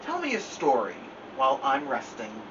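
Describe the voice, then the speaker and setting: A man voicing a cheerful, eager, caring frog in a storybook with animated, emphatic delivery. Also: medium pitch, moderate pace, lo-fi sound. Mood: mild. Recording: distant mic with faint noise.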